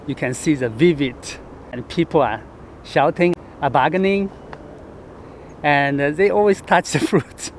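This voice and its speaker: A man from Chengdu with a Chinese accent, a travel vlogger talking casually to camera outdoors, halting and full of fillers. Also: fairly high pitch, a little hiss or hum. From Chengdu, China. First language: Sichuanese. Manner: chatty, halting, with frequent fillers and laughs. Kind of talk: casual vlog talk to camera. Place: outdoors in the city.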